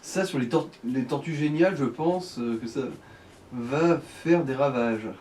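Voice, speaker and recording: A man's voice: medium pitch, measured pace, darkish tone. A man chatting casually and giving advice as a companion during a video game. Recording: distant mic, faint background noise.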